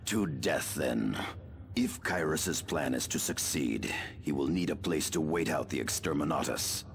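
A grim old soldier of a video game, speaks extremely low. The delivery is slow and weary, with a heavy gravel in the voice. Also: male voice, animated, full character voice. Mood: resolute. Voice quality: very deep, gravelly. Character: grim, world-weary male space marine veteran. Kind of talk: video game character acting.

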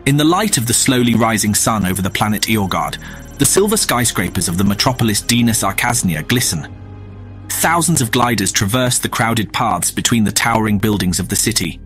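A man narrating a sci-fi audio drama as a measured, descriptive storyteller. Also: lowish voice, lively intonation, a bit bright, soft background music.

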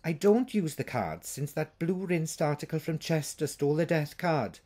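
A man's voice: fairly high pitch, lively intonation, normal speed, warm tone, pitched above the performer's own voice. A man voicing a haughty, sulky witch woman who talks in an indignant, fretful way in a storybook reading. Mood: tense, huffy.

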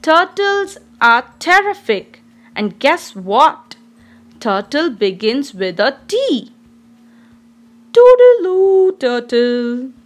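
A woman reading a children's storybook aloud in an expressive, deliberate, child-directed way. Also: high-pitched. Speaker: storybook reader.

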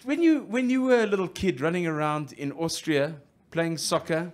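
A middle-aged man with a South African accent hosting a radio interview, jokey and lively, often restarting his sentences. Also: a little high, moderate pace. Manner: jokey, lively, restarts a lot, plugs the station. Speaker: radio host.

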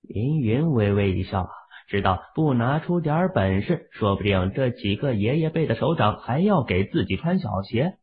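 A man's voice: mid-range voice, animated, normal speed, husky. A man narrating a novel from a script in a dramatic storytelling style, with narrow, muffled sound. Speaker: audiobook narrator.

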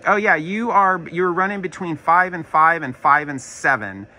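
Bright-voiced American man outdoors. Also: a little high, normal speed. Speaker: amateur radio operator and video host. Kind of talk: casual ham radio chat and vlog narration. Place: covered backyard patio, outdoors. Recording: faint outdoor background noise.

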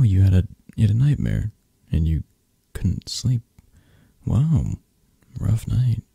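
A man playing a possessive, flirtatious mafia boss who comforts a lover in intimate ASMR roleplay, murmuring softly and haltingly in a breathy, gravelly voice. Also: fairly low pitch, no room sound, full character voice. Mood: tender, soothing. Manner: slow, soft, halting, soothing murmur.

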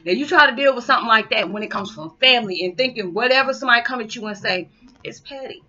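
A woman's voice: on the high side, fast, faint music behind. A middle-aged woman sharing a candid, emphatic personal story in a vlog, talking to a webcam in a small living room. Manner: candid, emphatic, animated hand gestures. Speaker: vlogger. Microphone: webcam built-in mic. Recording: dull lo-fi webcam audio.

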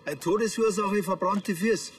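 Sardonic policeman, higher voice. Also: measured pace, reverberant, background noise. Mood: dry sarcasm. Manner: dry, sardonic, curt. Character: grumpy, sarcastic male village policeman. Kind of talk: TV crime comedy acting.

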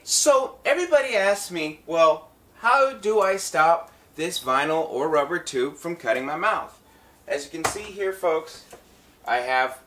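A man's voice: on the high side, a bit bright. A man giving a casual hands-on tutorial as host, addressing viewers in an informal, folksy way. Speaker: tutorial host and talk box musician. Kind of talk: casual instructional demonstration.

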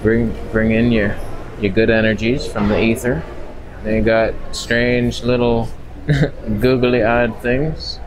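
A man's voice: medium pitch, flat delivery, slow, crowd noise. A man in his thirties giving casual, joking walk-along vlog commentary into a handheld camera. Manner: wry, joking asides. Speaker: vlogger. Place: on the move through a market and outdoors. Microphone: handheld camera at arm's length. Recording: noisy handheld camera with ambient noise.

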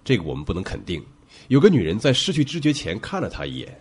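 Man acting a husky, low-voiced police detective. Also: wildly swooping pitch, fast talker, exaggerated voice. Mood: serious, cautioning. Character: earnest, dutiful male police detective. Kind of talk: audio suspense drama acting.

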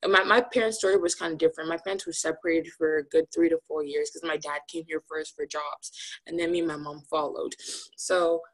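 A woman's voice: low-pitched, poor audio. A teenage girl from Ghana talking earnestly on a video call from a small room, with frequent restarts. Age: teens. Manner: earnest, animated, quick restarts. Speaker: guest. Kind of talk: casual video call chat. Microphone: phone at arm's length on video call.

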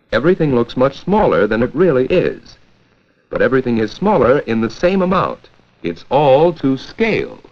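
An American man narrating a 1940s educational film from a script, with a clear, measured storytelling delivery. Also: medium pitch. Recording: dull, lo-fi 1940s 16mm film soundtrack.

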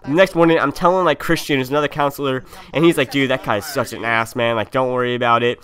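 A young man with a medium-pitched voice narrates a personal story as a streamer would. His delivery is informal and full of slang, and he slips into quoted dialogue. He speaks close to the mic in an indoor room. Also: steady tone, fast, a bit bright. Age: young adult. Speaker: streamer telling a story over gameplay. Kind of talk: casual storytelling. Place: indoor room at a gaming setup.

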